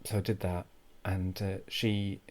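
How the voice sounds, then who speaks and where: A man with a low, mellow voice tells an awkward comic story as host. He is hesitant and deadpan, circling back over his own phrases with very little rise or fall. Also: unhurried.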